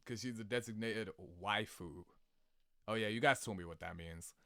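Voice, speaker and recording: A man giving casual, rambling reaction commentary in an animated, slangy, sweary way, laughing easily. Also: lowish voice, moderate pace, no echo, clean studio sound. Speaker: video creator reacting to game cutscenes.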